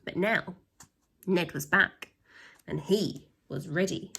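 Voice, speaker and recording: A woman's voice: fairly low pitch, slow, gravelly, a bit bright, a little hiss or hum. A woman reading a children's story aloud expressively, speaking directly to young listeners with chatty asides. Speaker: storybook reader, teacher.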